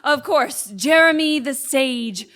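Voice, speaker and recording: A woman's voice: high-pitched, animated, slow pace, fairly bright tone, exaggerated voice. A woman playing an earnest, anxious rebel seeking guidance, speaking with dramatic formality in a comic stage play. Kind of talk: comic stage acting before a live audience. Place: theatre stage, live performance.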